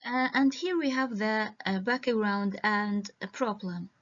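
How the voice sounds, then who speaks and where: Woman presenting her research at a conference, speaking slowly with constant hesitations, on muffled lo-fi call audio. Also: medium pitch, a bit bright. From Iraq. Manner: halting, with frequent hesitations. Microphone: video call.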